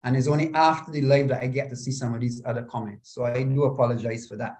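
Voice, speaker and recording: A man's voice: mid-range voice, steady tone, fast talker, darkish tone. Courteous man moderating a panel. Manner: courteous, apologetic, reads out viewer comments. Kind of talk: hosting a live online panel. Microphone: video call.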